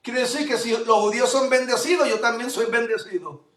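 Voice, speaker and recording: A male pastor from Puerto Rico with a Puerto Rican accent, preaching a fervent sermon that swings between loud declarations and low asides. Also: fairly even intonation, a little fast. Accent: Puerto Rican Spanish. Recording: echoey room, voice from far off mic.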